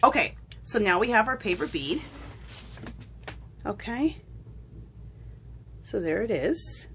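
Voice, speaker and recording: A woman's voice: medium pitch, fairly bright tone, slight background noise. A woman talking casually through a paper craft tutorial at her table, rambling and correcting herself, sniffling from a cold. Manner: chatty, rambling, self-correcting. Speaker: crafting vlogger. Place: home craft table, indoors.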